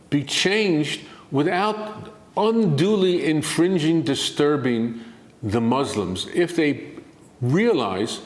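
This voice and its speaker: An older man as a guest expert in an interview, answering in a measured, argumentative way that builds to emphatic points. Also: medium pitch, echoey room.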